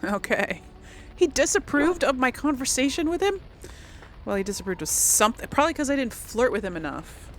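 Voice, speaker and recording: A woman's voice: high voice, big pitch swings, brisk, a little hiss or hum. A woman doing casual let's play commentary, thinking aloud in an informal, hesitant way. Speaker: gamer, let's play host.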